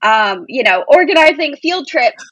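An American woman in her thirties speaks in a somewhat high voice with very little room sound. She is a podcast guest, telling personal stories casually and with enthusiasm. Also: very animated, normal speed, a bit bright, dry recording, poor audio. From Boston area, United States. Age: 30s. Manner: enthusiastic, informal, peppered with "like".

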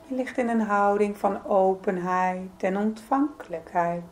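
Slow-paced woman guiding a meditation. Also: mid-range voice. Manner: soothing, gentle, unhurried with pauses. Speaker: yoga nidra meditation guide. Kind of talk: guided meditation, calm spoken instruction.

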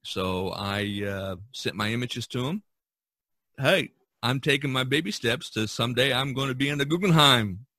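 A man chatting casually as a podcast co-host, haltingly searching for his words. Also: mid-range voice, pushed and bright, no echo. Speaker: podcast co-host, representational artist.

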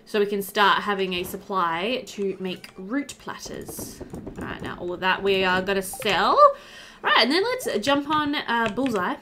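A woman's voice: medium pitch, very animated, a little slow, background noise. A young woman giving casual, chatty gameplay commentary into a headset, thinking aloud as she goes. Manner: chatty, thinks aloud, talks to game animals. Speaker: let's-play host.

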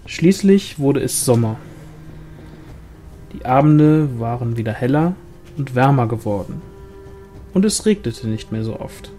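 A man's voice: mid-range voice, expressive, measured pace, long pauses, mellow, faint music behind. A man reading a novel aloud as scripted narration, in the manner of an audiobook. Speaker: audiobook reader.

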